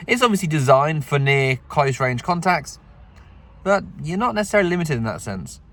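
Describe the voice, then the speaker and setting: Higher-pitched man vlogging about radio. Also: very animated, moderate pace, a bit bright, a little hiss or hum. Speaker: amateur radio vlog host. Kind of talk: casual vlog narration with radio calls. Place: outdoors and in a vehicle. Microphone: phone camera.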